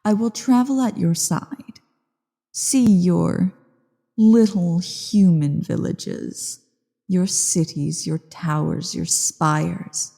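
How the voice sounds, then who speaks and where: A woman voicing an ancient evil female dragon in a fantasy ASMR roleplay, haughty, imperious and condescending, with deliberate phrasing and a breathy, gravelly, dark voice. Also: lowish voice, full character voice. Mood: condescending, deliberating. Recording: long cavernous reverb.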